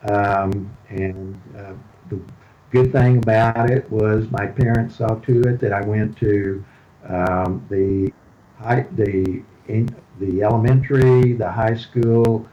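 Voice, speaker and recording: An older man from Houston with a Texan accent, a podcast guest giving a deliberate testimony with pauses and fillers. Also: on the low side, steady tone, highs cut off. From Houston, Texas. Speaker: podcast guest, ministry leader. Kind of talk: slow, measured testimony.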